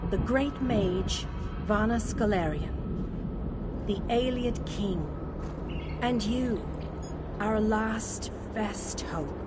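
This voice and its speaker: An actress playing a solemn female mystical advisor in a fantasy game, speaking in a measured, formal, portentous way. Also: medium pitch, noisy background, close to an everyday voice. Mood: grave, resolute. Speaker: quest-giving advisor character. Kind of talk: fantasy game lore narration and dialogue.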